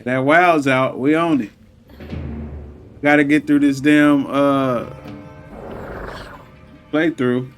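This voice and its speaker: A man's voice: medium pitch, steady tone, slow pace, long pauses, soft background music. A man playing through a video game with casual, slangy, sweary live commentary.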